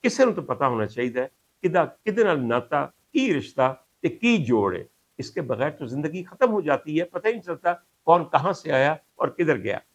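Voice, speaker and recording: A man's voice: on the high side, big pitch swings, moderate pace. A middle-aged or older man with a Pakistani accent, telling stories to viewers in a courtly, rhetorical, dramatic way as a host. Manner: rhetorical, courtly and dramatic phrasing, switches into English and Punjabi. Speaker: host, doctor. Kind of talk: storytelling monologue to viewers.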